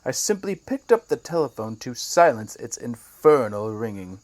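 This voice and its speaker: A man's voice: mid-range voice, sing-song, moderate pace, darkish tone, no echo, close to an everyday voice. A man in an audio drama playing a fussy, puzzled guest with formal, wordy speech.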